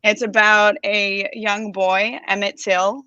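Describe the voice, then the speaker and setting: American woman guest, bright tone, soft-spoken, dry dull recording. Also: mid-range voice, little pitch movement, slow, no room sound. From Los Angeles, United States. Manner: soft-spoken, laughs easily, hesitant fillers. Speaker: guest entrepreneur. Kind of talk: casual interview chat.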